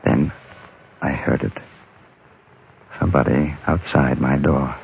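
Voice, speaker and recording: A man acting a steady, guarded frontier gentleman in a radio western, speaking in a measured, deliberate and terse way. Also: very deep voice, creaky, heavily acted. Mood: tense, hushed. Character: steady, guarded frontier gentleman narrating his Old West adventure. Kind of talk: 1950s radio western acting, first-person narration and dialogue. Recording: muffled, noisy old radio recording.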